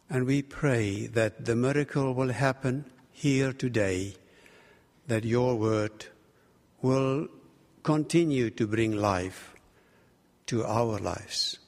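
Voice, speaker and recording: A man with a South African Afrikaans accent, a native Afrikaans speaker, preaching as a guest in deliberate, pausing phrases. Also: mid-range voice. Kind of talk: sermon with prayer and scripture reading. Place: large reverberant room. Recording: echoey hall with long reverb.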